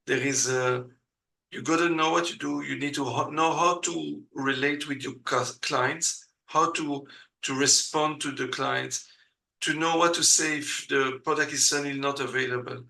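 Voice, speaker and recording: Gravelly-voiced Belgian man, hesitant. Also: medium pitch, steady tone, measured pace, sharp tone, low-quality recording. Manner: hesitant, many uh fillers, non-native grammar. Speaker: guest. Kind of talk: casual interview.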